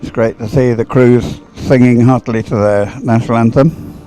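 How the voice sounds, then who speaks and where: A man's voice: medium pitch, steady tone, moderate pace, dark tone, soft background music, low-quality recording. An older man giving live commentary on a boat regatta, chatty and hesitant, often drifting into rambling asides. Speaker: sports commentator, former umpire.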